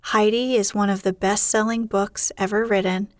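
A woman hosting and narrating a bedtime podcast in a soothing, unhurried, hushed way. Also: medium pitch, little pitch movement, no echo, clean studio sound. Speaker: podcast host and bedtime story reader. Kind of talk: slow sleep-podcast narration.

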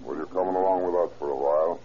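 An actor playing a brisk, skeptical police detective in an old-time radio crime drama. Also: male voice, low voice, steady tone, dark tone, lightly acted. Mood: wry, skeptical. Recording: dull, noisy old radio recording.

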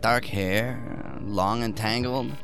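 A man's voice: fairly low pitch, fairly even intonation, takes it slowly, fairly bright tone, faint music behind. An actor playing a cynical, irritated son of a wealthy man in an audio drama, with a scornful, dismissive manner. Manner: scornful, dismissive, chuckling sarcasm. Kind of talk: naturalistic audio drama acting.

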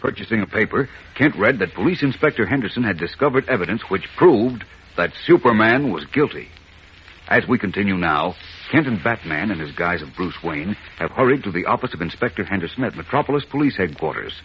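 A low-voiced American man narrates a 1940s radio serial. He is formal and dramatic, heard on a muffled recording with faint noise. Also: animated, brisk. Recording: muffled, hissy old radio broadcast.

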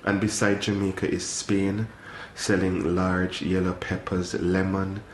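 Male poet, very low and monotone, slow delivery, roomy archive sound with faint hiss. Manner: slow, even recitation. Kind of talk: poetry reading.

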